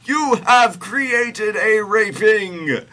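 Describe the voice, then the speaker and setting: A man voicing a wrathful, bossy God in a bombastic, drawn-out bellow. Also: high voice, expressive, sharp tone, pitched above the performer's own voice, heavily acted. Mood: wrathful roaring. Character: shouting, tyrannical male God, bossy and wrathful.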